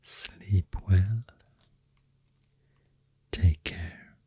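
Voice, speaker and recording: Swedish guy doing soft asmr rambling, super breathy almost whisper, flat and low, dry close mic. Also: no room sound. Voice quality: deep, soft, breathy, often near whisper. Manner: slow, hushed, soothing. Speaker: ASMR host. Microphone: close microphone.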